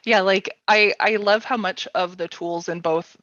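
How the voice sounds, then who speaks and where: A woman hosts a casual livestream workshop in a mid-pitched voice. Her phrasing is informal and a bit hesitant, peppered with "like." The sound is dry and slightly dull. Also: steady tone, a little slow, fairly bright tone, dry recording. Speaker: host of a publisher's livestream workshop. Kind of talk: casual workshop chat, reading viewer questions.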